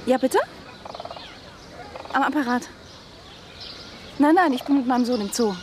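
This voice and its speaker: A woman's voice: high voice, unhurried. An actress playing a mother out with her young son, speaking conversationally in a radio drama scene. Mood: uneasy, conversational. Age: 30s. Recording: noisy outdoor zoo ambience with birds.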